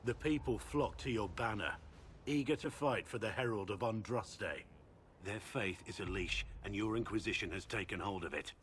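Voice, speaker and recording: A grim fantasy game warrior, acted by a man, with a low, deep voice. He is solemn, proud and intense, full of bitter resolve. Clear ambient noise sits behind him. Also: moderate pace, a bit bright, close to an everyday voice. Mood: grim, resolute. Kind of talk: video game cutscene acting.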